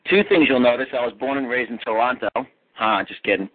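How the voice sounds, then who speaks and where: A man in his forties with a New York accent, giving an energetic motivational business talk as a coach on a teleclass call. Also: medium pitch, quick pace. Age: 40s. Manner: energetic, punchy motivational delivery. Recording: tinny phone line.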